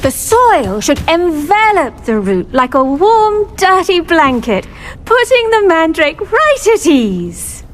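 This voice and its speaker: Kindly herbology teacher, British, very high voice, lively swooping intonation, effusive, encouraging, fantasy game character. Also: female voice, slow, a little hiss or hum, full character voice. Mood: cheerful, encouraging. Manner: effusive, encouraging, plant puns. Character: cheerful, kindly female herbology professor at a wizard school. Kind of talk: video game character acting.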